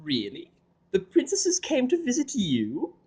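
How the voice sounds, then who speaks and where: A posh, theatrical unicorn dressmaker, as a male reader puts her on, who calls everyone darling. She speaks higher than he normally does, loud and gushing, stretching her words slowly and grandly. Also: huge pitch swings, breathy edge, full character voice. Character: posh refined unicorn mare fashion designer.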